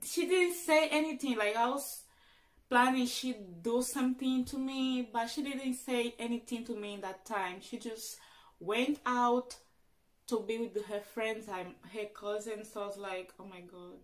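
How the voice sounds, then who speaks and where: A young woman whose first language is Portuguese, telling a personal story in a vlog in an earnest, halting way, often restarting her sentences. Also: fairly high pitch, steady tone. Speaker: vlogger.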